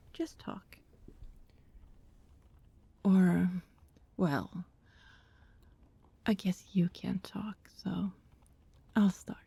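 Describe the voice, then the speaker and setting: A woman playing an anxious, lovelorn vampire neighbor in an ASMR roleplay, speaking to the listener in halting, secretive, coaxing rambles that slip into whispers. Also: mid-range voice, dark tone, dry recording, heavily acted. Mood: rattled, hushed. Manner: halting, rambling, breathy asides dropping into whispers.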